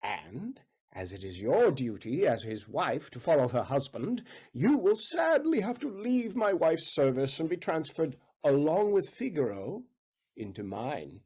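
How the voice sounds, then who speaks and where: A lecherous, pompous count acted by a man in a stage period comedy. He wheedles in florid phrases, his husky, somewhat high voice rising and falling with great animation. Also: sing-song, a little slow, mellow, full character voice. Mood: wheedling, pompous.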